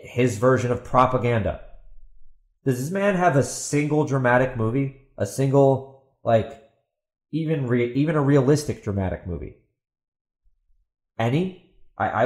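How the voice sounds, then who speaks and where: A man's voice: medium pitch, reverberant. A man hosting a podcast, giving opinionated commentary in a sarcastic, emphatic way and repeating himself for stress. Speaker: podcast host and author.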